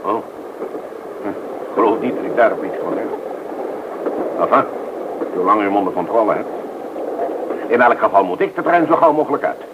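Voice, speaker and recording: An actor playing an indignant, demanding upper-class gentleman passenger in a Dutch radio play, with a pompous, blustering manner. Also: lowish voice, lively intonation, moderate pace, pauses often, lightly acted. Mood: displeased. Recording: muffled, very noisy old radio recording.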